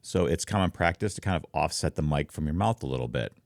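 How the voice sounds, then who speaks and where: A man with a very deep voice gives a casual, explanatory talk in a streamer's style. His delivery is informal and demonstrative. The sound is dry and close, recorded on a microphone near his mouth in a treated room. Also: lively intonation, fast, no echo. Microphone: close foam-covered microphone, slightly offset from mouth.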